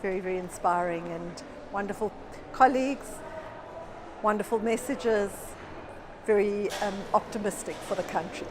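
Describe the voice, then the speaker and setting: A woman's voice: medium pitch, moderate pace. A woman speaking enthusiastically in an event interview. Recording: noisy event recording.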